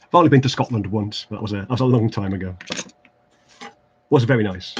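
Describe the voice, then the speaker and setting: A man's voice: lowish voice, quick pace. A man with an English Midlands accent chats casually through a craft livestream at his desk, rambling, self-deprecating and full of restarts. The audio is a little dull, with a faint hiss underneath. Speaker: livestream host building tabletop terrain. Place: home craft desk, indoors.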